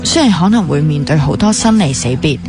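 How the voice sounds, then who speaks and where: Earnest young Hong Kong woman, lowish voice. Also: lively intonation, brisk. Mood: earnest, reflective. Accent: Hong Kong Cantonese. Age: young adult. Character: earnest, teasing young woman doctor in training. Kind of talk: radio drama acting. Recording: music playing behind the dialogue.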